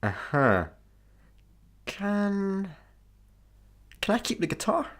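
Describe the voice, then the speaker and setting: An actor with a Scottish accent playing a daft, easygoing janitor in a sci-fi comedy radio play, casual and obtusely deadpan. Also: male voice, sits high, drawn-out words, full character voice. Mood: bemused, cheeky. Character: daft, easygoing, literal-minded male slacker janitor.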